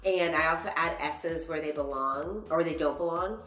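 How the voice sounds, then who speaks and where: Low-voiced chatty woman, echoey. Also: moderate pace, fairly bright tone. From Dallas, USA. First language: Spanish. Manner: chatty, laughs often, trails off mid-sentence. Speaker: interview guest. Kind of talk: casual interview chat. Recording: echoey, from far off, faint music.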